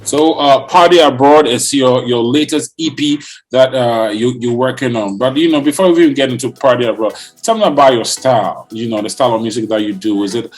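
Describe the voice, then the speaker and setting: Casual male podcast host, middle pitch, halting and full of fillers, soft music behind. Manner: hesitant, many fillers and restarts. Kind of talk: casual interview.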